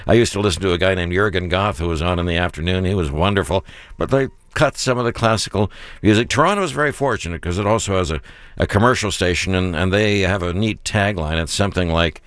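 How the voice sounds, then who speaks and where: A man hosting a public radio fundraiser in the studio, chatting genially with guests and slowing down to read out the pledge number. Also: low voice, a bit bright, no echo. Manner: genial, chatty, slows to repeat phone numbers. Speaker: radio host. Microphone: studio mic.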